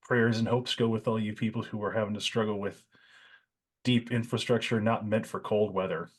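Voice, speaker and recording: A man's voice: on the low side, fairly even intonation, normal speed. A man hosting a podcast, chatting casually with his co-hosts in an easygoing way. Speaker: podcast host.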